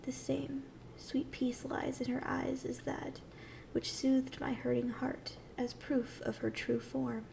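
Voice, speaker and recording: A gentle, ancient tree dryad, played by a woman, speaks in a high, very creaky voice at a slow, soothing pace. The delivery is soft and breathy, often near a whisper, in the style of a tender fantasy ASMR roleplay. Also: little pitch movement, exaggerated voice, poor audio. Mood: dreamy, tender.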